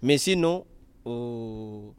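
Slow, hesitant young Nigerian man. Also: medium pitch, animated, clean tone. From western Nigeria. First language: Yoruba. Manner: hesitant, many fillers and restarts. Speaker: interviewee, artist and freestyle rapper. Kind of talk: casual interview in learner French.

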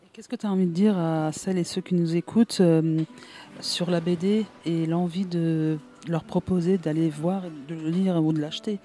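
Flat-voiced male radio interviewer. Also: fairly high pitch, moderate pace. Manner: informal, probing questions.

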